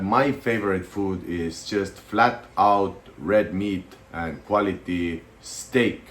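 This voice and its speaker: A man in his thirties from Estonia talks loosely to the camera, pausing on fillers as he goes. His voice sits very low. A faint noise hums behind him. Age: 30s. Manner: relaxed, hesitant with many fillers. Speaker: vlogger. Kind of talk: casual to-camera chat.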